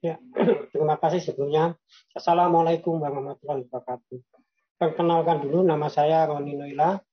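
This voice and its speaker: A man from Yogyakarta telling his own business story in a halting way, with many fillers and restarts. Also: on the high side, fairly even intonation, slightly rough, dull audio. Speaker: guest entrepreneur. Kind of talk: personal storytelling.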